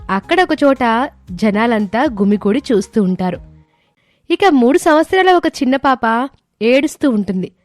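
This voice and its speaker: A woman narrates a scripted moral tale as storyteller. Her voice is fairly high, and music plays underneath.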